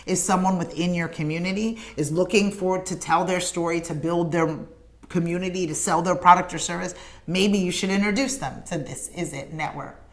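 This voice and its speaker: A woman's voice: on the low side, steady tone, moderate pace. A woman hosting a morning livestream show, making an earnest, emphatic appeal to viewers in a repetitive rallying cadence. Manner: addresses viewers in comments by name. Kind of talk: direct-to-camera livestream appeal.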